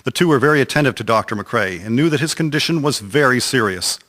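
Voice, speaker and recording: A man's voice: medium pitch, animated, quick pace, a bit bright. A man narrating a historical radio drama from a script in a solemn, formal storytelling manner. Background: music.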